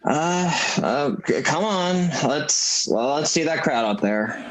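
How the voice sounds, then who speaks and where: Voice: medium pitch, lively intonation, takes it slowly, background noise. An actor playing an anxious, pleading young small-town loan man in a radio play, stammering and hesitant over a video call. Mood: flustered. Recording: video call audio with background voices.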